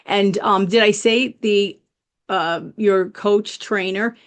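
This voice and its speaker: A woman's voice: medium pitch, steady tone, takes it slowly. A woman hosting an interview, asking questions and offering frequent affirming murmurs. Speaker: host. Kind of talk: interview questions and channel promo.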